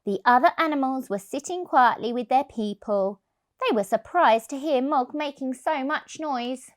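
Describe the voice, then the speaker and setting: A woman reading a picture book to young children, expressive and deliberate, stressing key words. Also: sits high. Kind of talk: storybook narration for young children.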